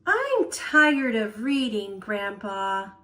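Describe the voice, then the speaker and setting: A woman's voice: medium pitch, very animated, extremely slow, sharp tone, exaggerated voice. A woman voicing a restless, curious young girl in a picture-book read-aloud, plaintive and questioning. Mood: bored, sighing.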